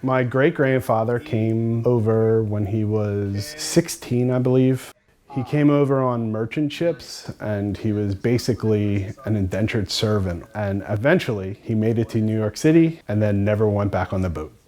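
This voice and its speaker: A man's voice: on the low side, normal speed, dark tone. A man from Philadelphia, a language student, speaking earnestly and thoughtfully in a documentary interview.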